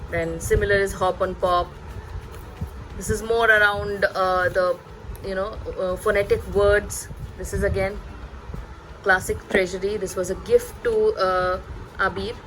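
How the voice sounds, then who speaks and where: A woman in her thirties, a native Bengali speaker, chatting hesitantly as a parenting vlogger, pausing and repeating words. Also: mid-range voice, steady tone, a bit bright, a little hiss or hum, poor audio. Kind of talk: casual chatty vlog recommending books.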